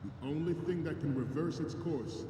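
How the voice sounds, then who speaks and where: A man's voice: fairly high pitch, normal speed, natural voice. An actor playing a stern, rousing male marine commander who delivers an oratorical military briefing in a video game. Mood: grave, declamatory. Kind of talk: military speech to assembled troops. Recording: echoing large hall, distant, noisy game audio.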